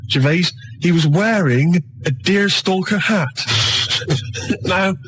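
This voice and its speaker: A man's voice: high voice, slow, a bit bright, slight background noise. A British man presenting on the radio in casual, hesitant, rambling chat.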